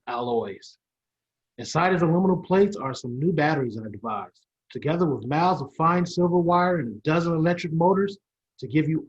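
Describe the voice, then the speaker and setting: A man's voice: on the high side, natural voice. A man playing a proud older inventor professor in an old-style radio play, explaining theatrically and sometimes stumbling. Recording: dull lo-fi sound.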